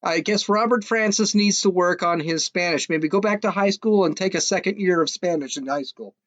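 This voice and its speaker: A man's voice: high voice, moderate pace, a bit bright, no room sound. A man hosting a podcast, ranting casually in a mocking, scoffing way, rambling with frequent restarts. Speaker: podcast host. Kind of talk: casual opinionated rant.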